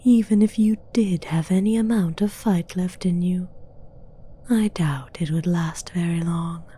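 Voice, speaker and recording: A woman playing a seductive, domineering female demon villain in an ASMR roleplay, speaking in a breathy whisper with a deliberate, theatrical, condescending delivery. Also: fairly low pitch, warm tone. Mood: weary, menacing.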